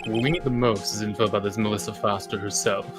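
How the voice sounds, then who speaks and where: A man's voice: lowish voice, steady tone, fast, close to an everyday voice. A man voicing a blunt, practical male investigator, reading video game dialogue aloud. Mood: businesslike. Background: game music.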